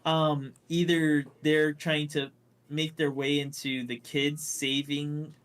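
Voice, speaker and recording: A man's voice: a little high, flat delivery, slow, a bit bright. A man co-hosting a casual pop culture podcast, opinionated and swearing freely as he talks. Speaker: podcast co-host.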